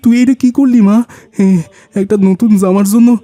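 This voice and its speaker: A man's voice: very high voice, normal speed, dark tone, dry recording. A man voicing a poor, humble rickshaw-puller father with a rural Bangladeshi dialect in a melodramatic cartoon. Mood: anguished wailing.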